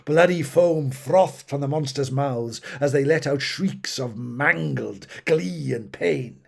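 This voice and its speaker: A man's voice: a little high, slow pace, gravelly. A man reading a fantasy battle story aloud with theatrical, emphatic delivery. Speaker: audiobook reader. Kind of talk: dramatic fantasy story narration.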